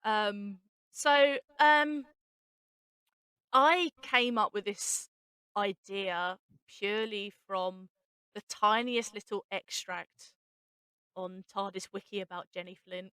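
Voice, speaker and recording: A woman's voice: mid-range voice, slow pace, pushed and bright, clean tone, dry recording. A woman hosting a casual behind-the-scenes chat, speaking emphatically and repeating herself for emphasis. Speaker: host, writer and actress.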